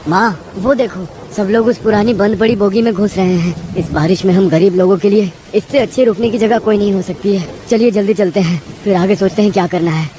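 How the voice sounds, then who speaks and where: A woman's voice: mid-range voice, brisk, few pauses, mellow, slight background noise, lightly acted. A woman voicing a poor, earnest young husband in a cartoon moral story. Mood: worried.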